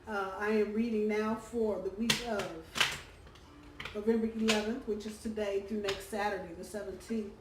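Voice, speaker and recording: Hoarse woman reading tarot, distant mic. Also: mid-range voice, flat delivery, moderate pace. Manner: chatty, warm-hearted, lots of ums and uhs. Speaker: tarot reader. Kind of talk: casual weekly tarot reading. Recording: roomy, distant from the mic, faint background hum.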